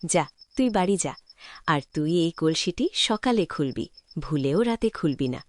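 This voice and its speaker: Woman playing a lowish, animated benevolent mysterious benefactress. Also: unhurried, no room sound. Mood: assured, benevolent. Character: mysterious benevolent woman who tests and rewards honesty.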